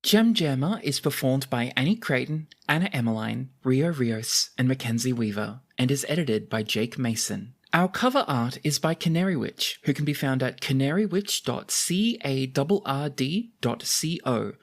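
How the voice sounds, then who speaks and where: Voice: medium pitch, lively intonation, normal speed, hardly any pauses. A game master and host narrating a tabletop roleplaying podcast in a descriptive way, sometimes self-correcting.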